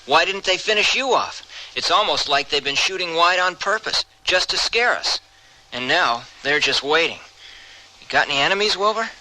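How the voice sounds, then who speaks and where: Radio western lead: tough, guarded stranger, fairly high male voice, very bright and forceful. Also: lively intonation, normal speed. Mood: puzzled, suspicious. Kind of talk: old-time radio western acting. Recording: slightly noisy radio drama recording.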